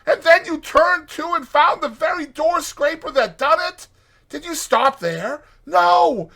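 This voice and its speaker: A man's voice: extremely high pitch, expressive, unhurried, gravelly, sharp tone, voice pushed up, full character voice. A man voicing a naive, fussy male mole from a storybook, wordy and exclamatory in his delivery. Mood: amazed, admiring. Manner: wordy, indignant or gushing, exclamatory. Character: naive, fussy male mole, pettish yet easily amazed.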